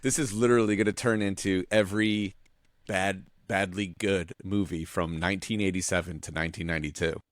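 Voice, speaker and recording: A man co-hosting a podcast, chatting in an informal, animated way with some mild swearing. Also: low voice, fairly quick, dry recording, clean studio sound. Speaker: podcast co-host. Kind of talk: casual chat.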